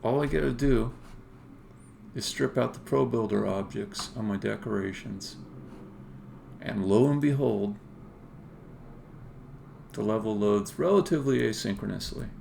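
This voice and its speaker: A man, middle-aged, a game developer talking casually and opinionatedly through a live-coding stream, halting at times and then emphatic. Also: on the low side, vocal fry, a little mellow, a little hiss or hum.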